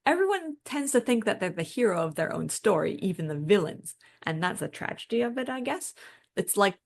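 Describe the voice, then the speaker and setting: A woman co-hosting a podcast, making her points in a reflective, measured, conversational way. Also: mid-range voice, vocal fry, a bit bright. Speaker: podcast co-host. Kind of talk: conversational podcast discussion, partly prepared points.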